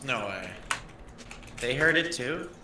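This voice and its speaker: A man's voice: mid-range voice, lively intonation, slow, a little hiss or hum. A man chatting casually and swearing as a teammate during an online game. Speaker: gaming teammate. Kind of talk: casual gaming chat. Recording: echoey voice chat, loud music. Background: loud music, game.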